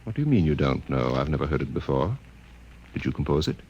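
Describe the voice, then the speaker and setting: A stern, disdainful Martian husband in a radio play. His deep voice is pompous and swings expressively, over faint noise. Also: sing-song, quick pace, creaky, darkish tone, lo-fi sound, heavily acted. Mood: suspicious. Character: dismissive, stern Martian husband, scornful of fancy. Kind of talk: radio drama acting.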